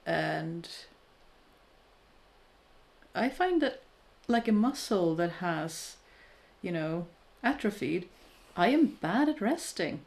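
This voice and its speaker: Thirties woman, relaxed live-chat host, lowish pitch, candid and self-deprecating, lots of restarts. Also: slow. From Norway. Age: 30s. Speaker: crafting streamer chatting with viewers.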